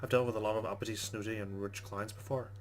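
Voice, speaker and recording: A man's voice: fairly low pitch, fairly even intonation, fast talker. A man hosting a game playthrough, reading the story text aloud with casual asides. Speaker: let's play host.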